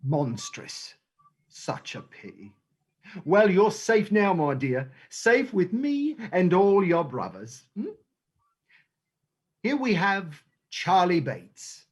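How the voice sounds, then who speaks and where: Theatrical thieves' gang boss, stage play reading, oily endearments, very lively pitch, unhurried delivery. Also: breathy edge, heavily acted. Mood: oily, grandiose.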